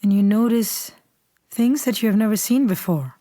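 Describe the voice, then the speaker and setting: A woman leading a guided meditation in a calm, unhurried, soothing way, softly and at times almost whispering. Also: medium pitch, no room sound, studio quality. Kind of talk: guided meditation, slow scripted instruction.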